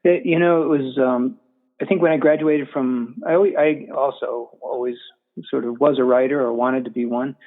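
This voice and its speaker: A middle-aged man, a former college professor and guest author, speaking haltingly and repeating words. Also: medium pitch, steady tone. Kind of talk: casual interview chat. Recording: tinny phone-like line.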